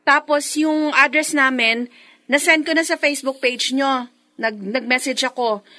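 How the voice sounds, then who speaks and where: An actress playing an eager, chatty adult daughter in a Filipino radio drama, speaking emphatically and conversationally. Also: female voice, sits high, steady tone, a little slow, sharp tone. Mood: curious, businesslike.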